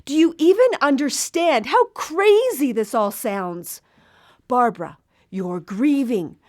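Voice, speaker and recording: A woman's voice: high voice, animated, slow, darkish tone. An actress playing a stern older mother-in-law in a live radio drama, worried, reproachful and earnest. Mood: exasperated.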